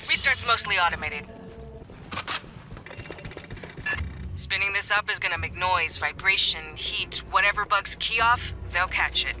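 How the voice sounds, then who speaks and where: Man playing a brash high-pitched marine sergeant. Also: moderate pace, over music, lightly acted. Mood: businesslike. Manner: casual, sardonic, profane military talk. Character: brash, gruff male marine staff sergeant leading a squad. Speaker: squad leader. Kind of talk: video game voice acting, radio briefing.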